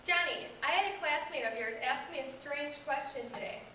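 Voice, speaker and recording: High-voiced young woman as patient teacher. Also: a little fast, close to an everyday voice. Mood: friendly. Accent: American. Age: young adult. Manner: instructive scripted delivery. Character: supportive, patient female reading teacher. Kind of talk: amateur reader's theater. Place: echoey indoor room. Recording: echoey room, distant microphone, background hiss.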